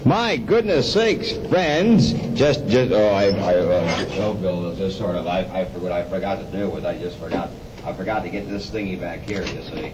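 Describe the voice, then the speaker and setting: A man's voice: low-pitched, normal speed, crowd noise, rough recording. A man hosting a morning show as a 1970s AM radio DJ, with jokey, mock-bumbling patter and sponsor reads. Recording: 1972 AM radio aircheck, music behind.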